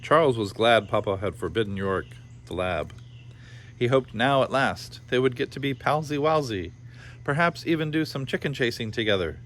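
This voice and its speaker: A man's voice: medium pitch, animated, normal speed, a bit bright. A man reading a children's story aloud in a playful style, with informal asides. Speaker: storybook reader. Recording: clear background noise behind the voice.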